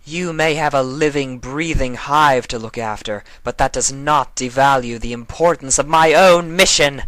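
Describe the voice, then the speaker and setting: A man's voice: mid-range voice, moderate pace, fairly bright tone, dry recording, voice pushed up, close to an everyday voice. A man voicing a proud, haughty alicorn queen villainess with an imperious, theatrical delivery in a fan fiction reading. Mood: indignant, lofty.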